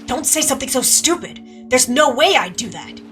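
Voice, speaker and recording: Woman voicing a panicked, angry man, bright and tense. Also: a little high, lively intonation, a little fast, distant mic, faint music behind, pitched above the performer's own voice. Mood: angry, defensive. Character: panicked, angry freelance writer man, near tears.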